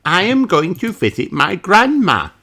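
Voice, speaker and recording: A man acts out a young girl pig from a children's storybook. The voice is pitched very high, bright with excitement and proud, with emphatic, childlike exclamations. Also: unhurried, exaggerated voice. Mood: theatrical.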